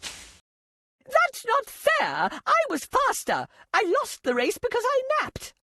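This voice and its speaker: A woman voicing a boastful male hare who complains in a whiny, higher voice. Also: huge pitch swings, takes it slowly, sharp tone, no room sound, clean studio sound, exaggerated voice. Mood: sulky. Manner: whiny, protesting.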